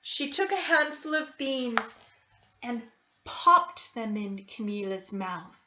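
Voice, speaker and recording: Slow, lively woman reading a storybook. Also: a little high, dull audio, noisy background. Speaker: storybook reader. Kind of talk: expressive children's story narration.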